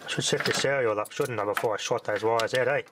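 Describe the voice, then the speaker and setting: A man's voice: mid-range voice, fast. A man casually talking through a hands-on demonstration, rambling and muttering to himself as he works. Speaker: hobbyist vlogger testing radios. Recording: loud noise with handling clicks.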